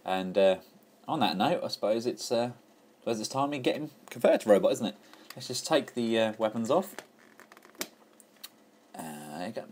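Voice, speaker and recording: A man's voice: on the low side, very animated, normal speed, long pauses, darkish tone. An enthusiastic man chatting casually through a toy review, full of fillers and restarts. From England.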